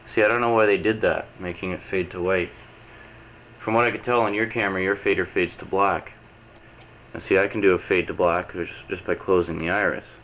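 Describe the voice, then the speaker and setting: Deep-voiced man rambling on camcorder tape. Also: fairly even intonation, fairly quick, a bit bright. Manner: rambling, lots of uh and restarts. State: coming down with a cold. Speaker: video letter host. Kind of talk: casual rambling chat to camera. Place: indoor home program room. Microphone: camcorder mic. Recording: noisy early-90s camcorder tape.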